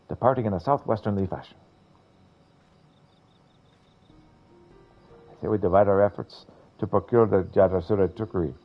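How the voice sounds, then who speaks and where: Guy doing a theatrical scaredy adventurer for a game, flowery formal talk, low husky voice, muffled audio. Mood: resolute. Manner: grandiloquent, dramatic. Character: theatrical adventurer man, fearful, formal, florid storyteller.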